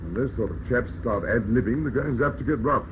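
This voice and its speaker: An actor playing an urbane British husband who narrates a radio play in a dry, clipped, conversational way on an old recording. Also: male voice, mid-range voice, a little fast, lo-fi sound, background noise, lightly acted. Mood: thoughtful. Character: urbane stage actor husband and narrator, easygoing but quick to indignation. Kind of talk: old-time radio drama acting and narration.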